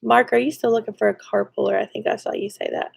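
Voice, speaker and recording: A woman's voice: mid-range voice, steady tone, fast talker, gravelly, slight background noise. A woman with a stuffy nose, chatting casually and giggling as she reads viewer comments on a live stream.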